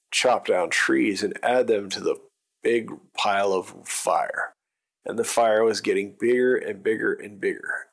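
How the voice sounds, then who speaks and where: A man's voice: fairly low pitch, creaky. A man narrating an improvised bedtime story for children, with simple wording and emphatic repetition.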